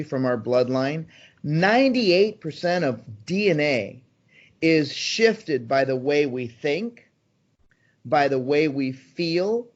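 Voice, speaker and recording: A man in his sixties, a guest in an interview, talking eagerly and persuasively with frequent ums and restarts. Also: fairly high pitch. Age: 60s. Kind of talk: conversational interview answers. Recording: slightly dull, muffled sound.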